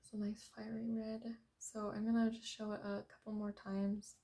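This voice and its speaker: A young woman hosting a coloring tutorial in a very quiet, soft-spoken voice, hesitant and apologetic, with many ums as she works. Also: mid-range voice, monotone, moderate pace. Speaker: art tutorial host. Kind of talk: unscripted coloring tutorial narration.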